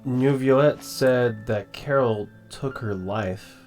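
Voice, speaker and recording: A man giving casual, halting, informal commentary as he reacts to a game. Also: medium pitch, a little mellow. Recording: game music behind the voice.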